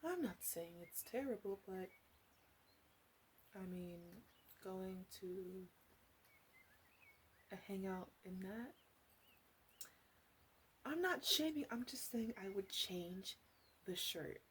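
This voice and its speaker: Flirty witch shopkeeper, acted by a woman, ASMR roleplay, slow soft speech, whispery drops, noisy home recording. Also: medium pitch, animated. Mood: teasing, candid. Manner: soft-spoken, hesitant, often dropping into whispers.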